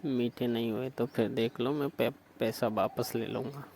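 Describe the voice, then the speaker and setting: A man's voice: mid-range voice, fairly even intonation, normal speed, a little mellow, slight background noise. A man on a recorded phone call, insistent and suggestive, pressing with question after question. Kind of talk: staged flirtatious phone call.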